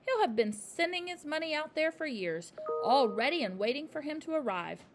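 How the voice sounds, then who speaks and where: A woman doing a soft, kindly schoolteacher. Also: high-pitched, normal speed, over music, voice pushed up. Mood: explaining. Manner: soft, calm, tender. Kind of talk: storybook reading.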